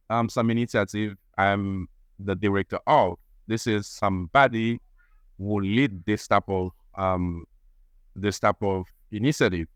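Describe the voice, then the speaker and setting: A man whose first language is French, answering interview questions in halting English as he searches for words. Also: lowish voice, no echo, studio quality. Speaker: guest, association vice president.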